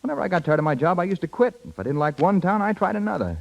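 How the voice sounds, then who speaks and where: An actor playing a proud, stubborn, resentful young husband with emphatic delivery in a 1930s radio drama. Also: male voice, high voice, sing-song, rapid-fire, gravelly, muffled recording, full character voice. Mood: frustrated.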